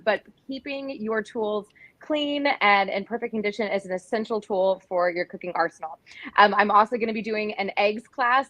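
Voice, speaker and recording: A woman's voice: medium pitch, fairly bright tone, no echo. A woman, a cooking instructor chatting enthusiastically on a live video call. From Texas, USA. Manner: bubbly and enthusiastic. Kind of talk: casual live video chat.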